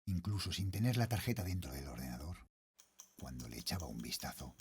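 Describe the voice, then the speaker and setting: Low-voiced, haunted middle-aged father. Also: sing-song, breathy edge, heavily acted. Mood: haunted, hushed dread. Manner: grave, brooding, dropping into slow hushed phrases. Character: haunted middle-aged father, shaken security guard narrating his horror. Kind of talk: dramatic first-person horror audio fiction narration.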